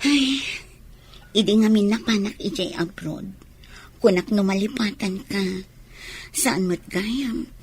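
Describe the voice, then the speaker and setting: A woman's voice: mid-range voice, slow, long pauses, darkish tone, a little hiss or hum, lightly acted. A woman playing a possessive, flirtatious mistress in an Ilocano radio drama, coaxing and reproachful with an Ilocano accent.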